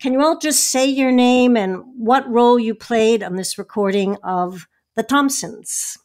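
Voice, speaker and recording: A woman's voice: fairly high pitch, measured pace, darkish tone. A woman hosting a conversational radio interview. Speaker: host.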